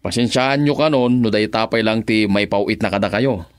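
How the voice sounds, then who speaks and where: A man's voice: fairly low pitch, moderate pace, no echo, lightly acted. Breezy young man played by an actor, dry sound. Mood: polite, friendly. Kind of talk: Ilocano radio drama acting.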